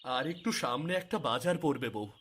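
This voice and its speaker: An actor playing a humble, nervous young cowherd in a cartoon story, with a husky, deferential voice. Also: male voice, medium pitch, expressive, moderate pace, a bit bright. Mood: humble, obliging. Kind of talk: Bengali cartoon story acting.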